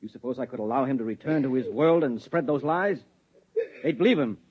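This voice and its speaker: An actor playing a controlled husband with a secret job, calm, lecturing and patronizing, in a 1950s radio drama. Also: male voice, medium pitch, a little fast, husky. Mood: stern. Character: controlled husband hiding a secret, a security man. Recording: dull lo-fi 1950s radio recording.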